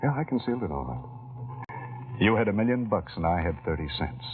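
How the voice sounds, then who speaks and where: A man's voice: lowish voice, animated, normal speed, lightly acted. A man playing a smitten, scheming employee. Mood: earnest. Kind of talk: 1940s radio acting. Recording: tinny old radio broadcast.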